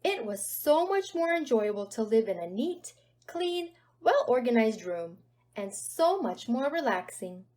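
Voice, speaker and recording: A woman's voice: on the high side, big pitch swings, unhurried, a little mellow. A woman narrating a children's storybook aloud expressively, with dramatic emphasis on key words. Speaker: storybook reader.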